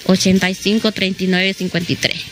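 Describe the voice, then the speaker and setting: A woman's voice: mid-range voice, level tone, fast, over music. A middle-aged woman with a southern Honduran accent giving spontaneous interview testimony, repeating phrases and adding tag questions, into a handheld microphone. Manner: repeats phrases, often adds "¿verdad?". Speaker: local resident being interviewed. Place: at her house, open air. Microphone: handheld reporter microphone held toward her.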